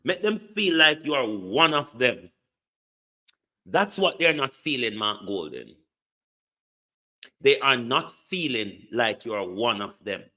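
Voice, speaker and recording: A man's voice: medium pitch, lively intonation, slow, pauses often, pushed and bright. A man giving an emphatic political commentary monologue, full of repeated rhetorical questions. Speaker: online commentator.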